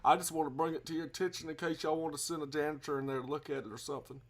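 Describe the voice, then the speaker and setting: A man's voice: on the high side, steady tone, racing. Man putting on a rambling, folksy Southern guest. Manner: rambling, folksy, muddled double-talk. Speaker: prank caller. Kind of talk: improvised prank phone call.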